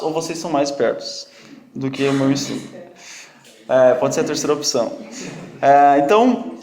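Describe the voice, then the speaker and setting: A man's voice: fairly high pitch, darkish tone. Man with a strong foreign accent, joking teacher. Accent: strong non-native accent in Portuguese. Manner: jokey, quizzes and teases students. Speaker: Bible study teacher. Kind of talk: interactive classroom teaching with questions to the group. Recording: echoey room.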